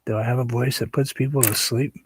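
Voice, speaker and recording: A man hosting a painting livestream, chatting casually and relaxed with viewers, sometimes dropping to a soft whisper as he works. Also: mid-range voice, fast talker, slight background noise. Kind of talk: casual chat while painting.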